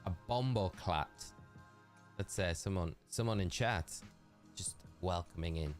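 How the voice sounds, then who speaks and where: A man's voice: deep voice, measured pace, faint music behind. A man with a Geordie accent chatting casually and rambling on a live game stream, talking to his chat.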